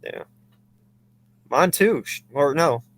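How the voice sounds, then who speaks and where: A podcast co-host in his thirties talks in a slightly high voice. He jokes, laughs easily and curses without holding back. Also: lively intonation, slow, fairly bright tone. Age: 30s. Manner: joking, laughs often, swears freely. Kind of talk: casual podcast chat.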